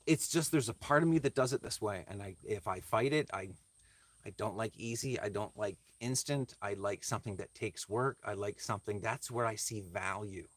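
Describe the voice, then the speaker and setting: A man chatting casually to livestream viewers as he works on a craft, thinking aloud in a halting, reflective way and answering their comments. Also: on the low side, no echo.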